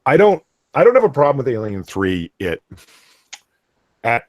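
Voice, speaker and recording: A man's voice: mid-range voice, wildly swooping pitch, normal speed, no room sound. A man co-hosting a live podcast, talking casually and rambling, laughing often. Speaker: podcast co-host. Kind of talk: casual chat.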